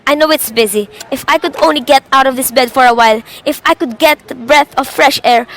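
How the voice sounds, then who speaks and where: A woman's voice: high-pitched, fairly quick, a bit bright. A young woman acting a nervous, demanding, self-pitying invalid wife in a suspense radio drama, agitated and melodramatic. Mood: irritated, complaining. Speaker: student actor in a class radio drama.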